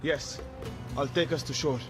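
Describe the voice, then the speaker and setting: A man's voice: fairly high pitch, steady tone, a little slow, over music, close to an everyday voice. A man playing a watchful, blunt guard and guide in an audio adventure game, terse and commanding. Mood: matter-of-fact. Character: seasoned male guard and guide, watchful, blunt, gruff protector.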